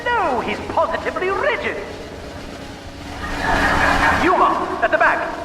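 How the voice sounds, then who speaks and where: A man's voice: extremely high pitch, big pitch swings, slow, echoey room, in a large hall, distant mic, heavy background noise, full character voice. An actor playing an unhinged, mutated company boss in a video game, a pompous showman taunting an intruder with theatrical menace. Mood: showman glee. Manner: pompous showman, swooping between purring menace and shrieks.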